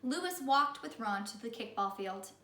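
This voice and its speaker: A woman reading a children's story aloud in the animated style of a teacher. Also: a little high, unhurried, recorded from a distance. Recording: echoey room.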